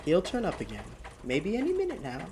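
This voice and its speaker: A man's voice: high voice, wildly swooping pitch, a little fast, a little mellow. An actor playing a haunted, desperate young male driver in a radio drama, with an intense delivery that stammers under agitation. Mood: frantic insistence. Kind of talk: radio drama acting with first-person narration. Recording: clearly noisy radio play recording.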